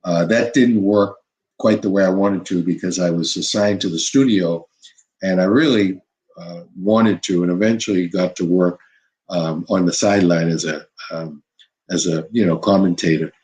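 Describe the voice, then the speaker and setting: An older man, a former basketball coach, telling stories from his career in an unhurried interview, full of "you know" and restarts. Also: low voice, fairly even intonation, darkish tone, rough recording. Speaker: former college basketball head coach, guest. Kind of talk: reflective interview storytelling.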